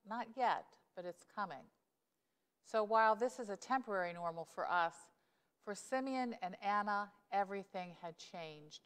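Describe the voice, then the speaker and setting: A woman's voice: lowish voice, smooth. Middle-aged woman pastor preaching in church. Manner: earnest, conversational preaching with emphatic rises. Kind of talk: sermon. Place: church sanctuary, indoors. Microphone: lapel mic. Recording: long church reverb.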